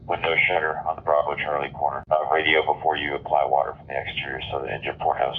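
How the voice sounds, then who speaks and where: A man's voice: a bass voice, level tone, quick pace. An American man as fire incident commander on a two-way radio, speaking in clipped procedural phrases. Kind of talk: fire radio dispatch traffic. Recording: narrow tinny fireground radio transmission.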